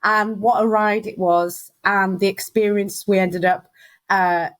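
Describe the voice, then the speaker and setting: A woman in her thirties chats easily as an interview guest, spinning her story with quick asides. Her voice sits in a middle register and she slips into little acted-out quotes as she goes. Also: mid-range voice, fairly even intonation. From Wales. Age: 30s. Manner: chatty storyteller, acts out quoted voices, laughs often. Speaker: guest, recruitment business founder. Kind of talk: casual interview chat.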